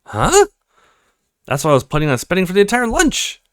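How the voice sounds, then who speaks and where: A man's voice: high voice, wildly swooping pitch, brisk, no room sound, clean studio sound, voice pushed up. A man voicing a naive, flustered young woman in a read-aloud of a video game. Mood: shocked.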